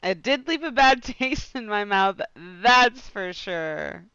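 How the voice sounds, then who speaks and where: Woman game streamer, unhurried, expressive, giggly, casual, bright voice, close dry mic, slightly muffled recording. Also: a little high, clear, resonant tone, dry recording. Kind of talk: casual reactions and reading dialogue choices aloud.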